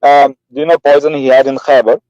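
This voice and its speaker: A man's voice: medium pitch, little pitch movement, fast talker, darkish tone, no echo, highs cut off. A man calling into a heated online debate, defending his view in an interrupting and repetitive way. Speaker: debate caller.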